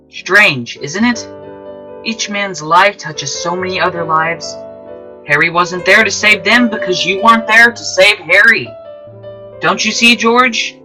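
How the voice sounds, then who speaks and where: A man plays a kindly guardian angel in a radio play, high-voiced and very bright. He reasons gently and earnestly, with a wistful, folksy air. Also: heavily acted, low-quality recording. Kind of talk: old-time radio drama acting.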